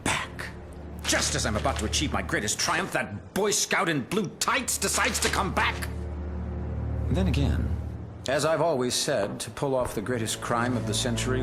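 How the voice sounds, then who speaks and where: A smug criminal mastermind for a video game. His mid-range voice swings dramatically in pitch, savoring each grandiose threat with pompous menace. Music plays prominently behind him. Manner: theatrical, pompous, drawn-out menace. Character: gloating male criminal mastermind villain, smug, grandiose, theatrical. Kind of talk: video game cutscene acting. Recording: loud music under the voice.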